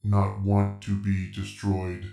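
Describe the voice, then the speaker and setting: A man playing a childlike, coldly obedient killer machine man in a radio horror play, talking in halting, broken robotic phrases. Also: on the low side, monotone, vocal fry, dark tone, echoey room, faint music behind. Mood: flat, obedient. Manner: halting robotic delivery in broken third person. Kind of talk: old-time radio horror acting.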